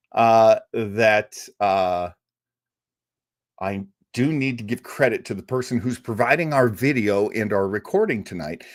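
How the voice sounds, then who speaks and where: A man's voice: lowish voice, no room sound, clean studio sound. A man hosting a live show, chatty and rambling as he reads out viewer comments. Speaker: show host. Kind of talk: casual livestream chat.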